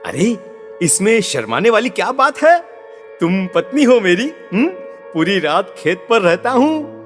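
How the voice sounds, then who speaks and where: A man voicing a creepy, possessed village husband in a horror story, wheedling and amorous, with halting words and questioning hums. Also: sits high, big pitch swings, fairly bright tone, exaggerated voice. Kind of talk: horror audio story acting. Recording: long reverb with music behind.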